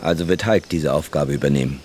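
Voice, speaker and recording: An actor in a German radio play playing a grave, urgent leader in a besieged camp, speaking in measured phrases. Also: male voice, very deep voice, very noisy. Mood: decisive.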